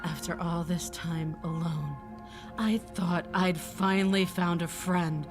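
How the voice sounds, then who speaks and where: An actress voicing a lonely young princess who speaks slowly in a hurt, accusing way. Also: female voice, fairly low pitch, steady tone. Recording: game audio with music behind.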